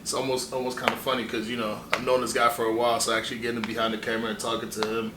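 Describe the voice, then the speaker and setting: A young man from Ghana hosting a relaxed, chatty interview with plenty of fillers. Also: mid-range voice, rapid-fire. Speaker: host. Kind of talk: casual interview. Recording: echoey room, distant mic, faint hiss.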